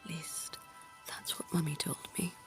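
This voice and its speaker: A woman voicing a centuries-old, genteel female vampire dentist in an ASMR roleplay, speaking in a soft, posh, coaxing whisper. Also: low-pitched, little pitch movement, moderate pace, over music, natural voice. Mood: soothing.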